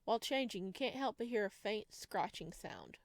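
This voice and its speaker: A woman's voice: medium pitch, big pitch swings, normal speed, creaky, no room sound. A woman doing a let's play, reading visual novel narration aloud and dropping in chatty, casual asides. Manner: chatty asides, reacts to the story. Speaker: video game streamer.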